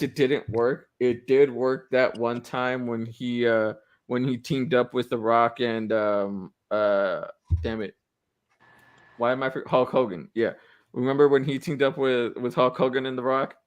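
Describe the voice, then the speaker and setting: A man's voice: mid-range voice, fairly even intonation, unhurried, smooth. A man in his late twenties in casual podcast chat, enthusiastic and swearing, often searching for words with fillers. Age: 20s. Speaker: podcast co-host.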